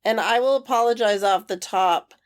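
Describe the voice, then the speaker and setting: A woman's voice: mid-range voice, normal speed, clear, resonant tone. A woman co-hosting a film podcast, her voice nasal from a cold, chatting in a dry, matter-of-fact way. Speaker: podcast co-host. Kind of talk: casual chat.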